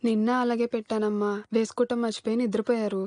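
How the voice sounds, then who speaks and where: An actress playing a dutiful, respectful household maid in naturalistic film dialogue. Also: female voice, a little high, flat delivery, fast talker, mellow, no room sound, clean studio sound, natural voice.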